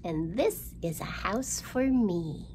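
A middle-aged woman presents a children's storytime in simple, questioning language. Her pitch moves widely and expressively, and she takes her time. The recording is made indoors on a camera mic, with some noise behind her. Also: expressive, slow, darkish tone. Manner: simple child-directed talk with questions and exaggerated delivery. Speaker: children's librarian, storytime host. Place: indoor room, seen at a storytime backdrop.

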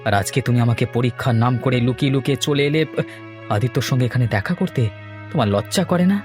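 A man's voice: medium pitch, fast, a little mellow, faint music behind, lightly acted. A man voicing an earnest, heartbroken young lover with a reproachful manner in a cartoon. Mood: indignant, hurt. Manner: reproachful, wounded. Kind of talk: melodramatic cartoon acting.

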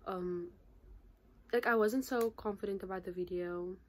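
A chatty nineteen-year-old woman vlogging straight to her camera from a small bedroom, held at arm's length. She talks at a middling pitch for a woman, with lots of fillers and an animated, gesturing delivery. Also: a little slow. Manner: chatty, animated, gestures a lot, says "like" constantly. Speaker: YouTuber. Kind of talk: casual to-camera vlog. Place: small bedroom in front of a patterned curtain. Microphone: phone or camera at arm's length.

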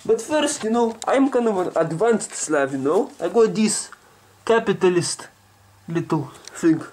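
A man's voice: high voice, lively intonation, measured pace, darkish tone. A young man playing a cheeky, foul-mouthed Slavic persona with a put-on Russian accent and broken English, rambling casually as he vlogs. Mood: playful. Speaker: vlogger. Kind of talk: casual comedic vlog. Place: small home kitchen indoors. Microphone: handheld camera at arm's length. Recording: noisy handheld camera with clicks.

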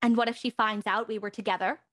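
A woman's voice: on the high side, fairly even intonation, quick pace, a bit bright, no room sound, clean studio sound, lightly acted. A young woman playing a spoiled, dramatic socialite in an audio drama. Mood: coy, uneasy. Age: young adult. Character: spoiled, indignant young actress playing a secretive socialite house guest.

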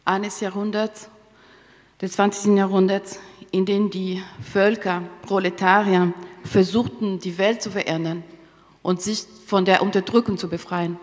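A woman giving a solemn interpretation of a eulogy at a stand microphone, in careful, slightly halting short phrases. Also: medium pitch, flat delivery. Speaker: consecutive interpreter. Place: theatre stage. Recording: reverberant large hall.

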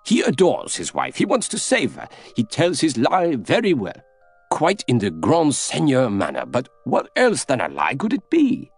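An excitable Continental gentleman, acted out by a male narrator in an audiobook. He is sure of himself and emphatic, pitched high with big swings. His phrases come out as exclamations. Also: normal speed, slightly rough, faint music behind, heavily acted. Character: excitable, self-assured Continental gentleman, easily flustered.

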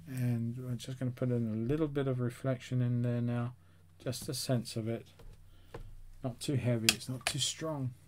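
A man's voice: mid-range voice, fairly even intonation, normal speed, darkish tone. Chatty man in his sixties, painting tutorial host. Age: 60s. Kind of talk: casual instructional chat to viewers.

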